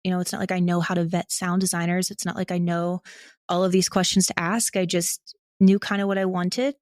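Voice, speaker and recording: A woman's voice: lowish voice, fairly quick, no echo, studio quality. A woman guest speaking casually and candidly in an interview, often saying "like" and acting out her own thoughts. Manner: candid, animated self-quoting, frequent "like". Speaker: podcast guest, audio drama creator.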